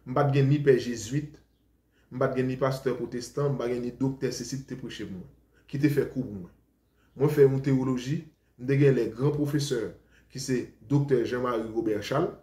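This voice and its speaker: Haitian male preacher, mid-pitched, rambling and defensive, echoey room. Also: dark tone. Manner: rambling, defensive, switches between Creole and French. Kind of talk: informal preaching talk to followers. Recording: roomy echo.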